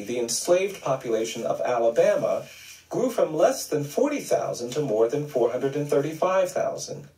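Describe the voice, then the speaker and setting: A man reading a scripted documentary narration in a grave, measured way. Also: medium pitch, mellow. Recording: roomy, distant video playback.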